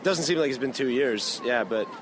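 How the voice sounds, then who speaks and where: A man's voice: mid-range voice, normal speed. A man from Florida, answering a street interview casually with many fillers. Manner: casual, hesitant, lots of fillers. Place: city street outdoors. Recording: street recording with traffic noise.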